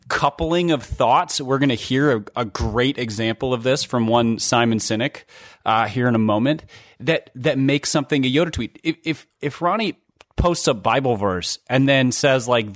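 Male podcast co-host, medium pitch, close dry sound, articulate and sardonic in casual commentary. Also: moderate pace, no room sound. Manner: wry, sarcastic, articulate.

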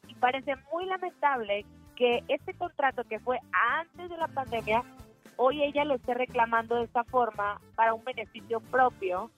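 A woman's voice: fairly high pitch, normal speed, soft background music. A woman presenting a showbiz gossip segment on radio, chatty and opinionated. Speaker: entertainment news reporter.

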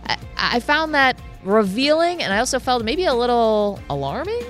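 A woman co-hosting a sports talk show, chatting casually with quick asides and frequent laughs. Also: a little high, sing-song, faint music behind. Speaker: sports radio co-host.

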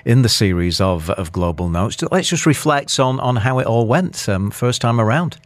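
A man's voice: mid-range voice, lively intonation, normal speed, no echo. A male radio host chatting casually with guests, asking curious questions and teasing lightly. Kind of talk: casual interview chat.

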